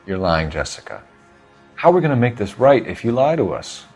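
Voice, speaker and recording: A man voicing an earnest, probing police detective in a video game, measured, formal and pressing. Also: medium pitch, very animated, a little mellow, faint music behind. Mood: stern, accusing. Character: earnest, probing male police detective, stern but controlled.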